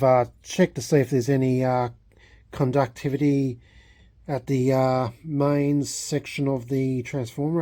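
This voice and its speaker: A man talking unscripted as a hobbyist vlogger, in a halting way, often repeating and rephrasing himself. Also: mid-range voice, dark tone, no echo. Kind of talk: unscripted restoration vlog talk.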